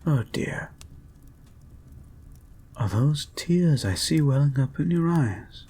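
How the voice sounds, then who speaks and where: A man playing a soothing, possessive and gently controlling therapist in an intimate hypnosis audio roleplay, speaking in a soft, breathy, hushed and deliberate way. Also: a little high, expressive, exaggerated voice. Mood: consoling. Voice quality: soft, breathy, husky, often near a whisper. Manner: slow, hushed, deliberate, coaxing.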